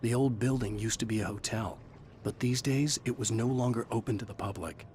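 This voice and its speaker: An actor playing a troubled, quick-tempered writer and devoted husband in a brooding, intense video game performance. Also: male voice, medium pitch, fairly even intonation, a little mellow, a little hiss or hum, close to an everyday voice. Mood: brooding, reflective. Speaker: protagonist and narrator. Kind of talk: cinematic game acting with brooding first-person narration.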